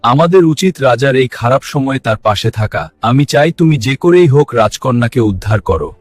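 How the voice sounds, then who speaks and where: A man's voice: medium pitch, expressive, moderate pace, no echo, faint music behind, natural voice. A man voicing earnest royals and courtiers in a dramatic fairy-tale cartoon. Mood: solemn.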